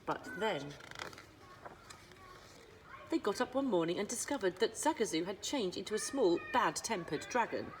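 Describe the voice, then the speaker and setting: A woman narrating a children's storybook as a librarian would, with dramatic pauses and emphasis. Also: medium pitch, animated, a little hiss or hum, rough recording. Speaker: school librarian reading aloud.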